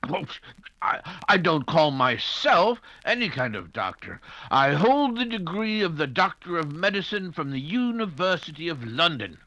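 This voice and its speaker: An actor playing a genial, flustered English doctor who tells stories hesitantly in the manner of 1940s radio drama. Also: male voice, a little high, big pitch swings, moderate pace, bright, forceful tone, dry recording, heavily acted. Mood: indignant. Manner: hesitant, fussy, avuncular. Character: genial, flustered English doctor narrating his detective friend's adventures.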